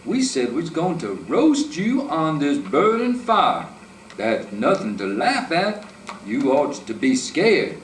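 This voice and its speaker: A man's voice: medium pitch, animated, unhurried, slight background noise, close to an everyday voice. A man voicing a mean, hungry male bear who hollers and gloats, recorded in an echoey room from a distance. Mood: gloating.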